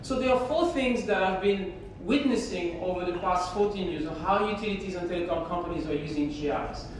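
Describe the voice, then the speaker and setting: A woman from France with a French accent giving a conference keynote in a relaxed, conversational way, with frequent pauses and fillers. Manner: conversational, hesitant, many fillers. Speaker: keynote speaker. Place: large conference hall. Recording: very echoey hall from far off, faint rumble.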